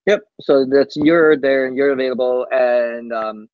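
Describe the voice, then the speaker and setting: A man giving a casual live software demo on a team call, speaking haltingly and often tacking "basically" onto sentences. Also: mid-range voice, steady tone, clean tone, dry recording. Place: coworking space, on a group video call. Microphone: video call microphone. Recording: muffled video call audio.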